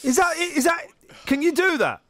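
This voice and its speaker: A man's voice: extremely high pitch, lively intonation, normal speed, sharp tone. A bunged-up male radio host bantering with callers in a joking, mock-indignant way. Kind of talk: playful phone-in banter. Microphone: studio mic.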